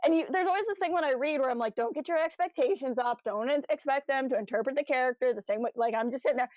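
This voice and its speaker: A woman co-hosting a podcast, talking casually as a fan and telling stories in an animated, slangy, enthusiastic way. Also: high voice, quick pace, dry recording. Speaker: podcast co-host.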